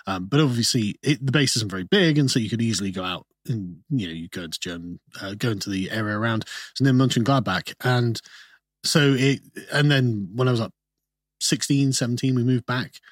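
Guy, kinda husky, talking things through slow and careful, dead dry mic. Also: medium pitch, dry recording. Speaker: guest commentator. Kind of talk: podcast interview. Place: studio.

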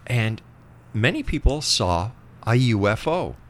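A man's voice: mid-range voice, sing-song, slow pace. A man hosting an interview show, talking conversationally and making his points with emphasis.

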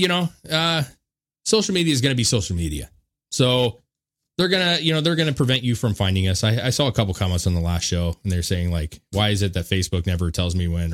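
A man's voice: on the low side, fairly bright tone. A man hosting a podcast in loose, laid-back banter, swearing freely and chuckling between remarks. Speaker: podcast host.

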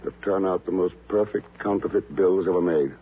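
An actor playing a cool, wry secret agent with an unruffled, dry manner in a 1950s radio drama. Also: male voice, low-pitched, normal speed, slightly rough. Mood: probing. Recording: tinny old radio, faint hiss.

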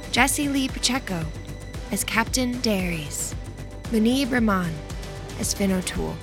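A woman's voice: medium pitch, gravelly. A woman reading scripted show credits as an announcer.

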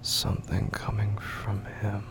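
A man narrating a scripted horror audio drama in a hushed, halting way that often drops into whispers. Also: lowish voice, completely flat delivery. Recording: clear background noise and ambience.

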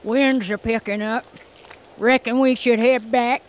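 A woman's voice: on the high side, steady tone, unhurried, a little hiss or hum, lightly acted. An actress playing an old woman guide in a radio drama, speaking in a folksy dialect with blunt, plain-spoken warnings. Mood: matter-of-fact. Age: older. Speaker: local guide.

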